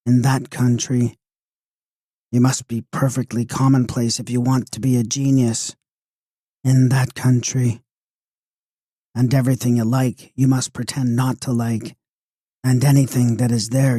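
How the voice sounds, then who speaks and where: A man's voice: mid-range voice, warm tone, no echo, studio quality, close to an everyday voice. A man voicing a mysterious old lady guide who speaks in a repetitive sing-song.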